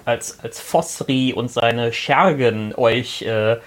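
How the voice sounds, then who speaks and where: A man with a cold co-hosting a podcast, explaining tabletop game rules in casual chat, halting and restarting often. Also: mid-range voice. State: has a cold, voice cutting out. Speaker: podcast co-host.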